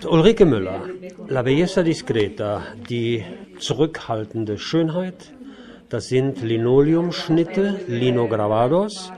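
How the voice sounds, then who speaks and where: A male interviewer chats casually on location in a small shop. His voice sits at a medium pitch, and a clear murmur of people runs behind him. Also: animated, slow pace. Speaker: interviewer and radio host. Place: small shop or gallery indoors. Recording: busy background chatter, recorded on location.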